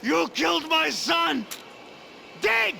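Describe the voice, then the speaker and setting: Screaming, gravelly vengeful mob father. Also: very high voice, big pitch swings, slow, a little hiss or hum, heavily acted. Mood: screaming rage. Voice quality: gravelly shouting. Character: enraged, grief-stricken mob father screaming for revenge.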